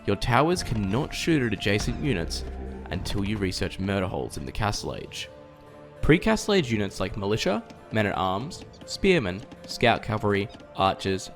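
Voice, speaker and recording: A man narrating a scripted game tutorial in a precise, explanatory, directive way. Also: on the low side.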